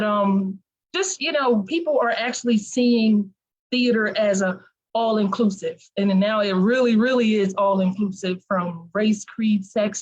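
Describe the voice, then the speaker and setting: A woman's voice: medium pitch, highs cut off. A woman answering conversationally as an interview guest, chatty and emphatic about her points. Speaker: talk show guest, actress.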